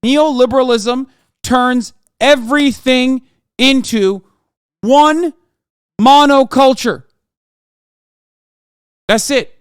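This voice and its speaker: A man's voice: very high voice, slow pace, long pauses, smooth, dry recording, studio quality. A man from Turkey, in his thirties, giving heated, profane reaction commentary as a streamer, lecturing and emphatic.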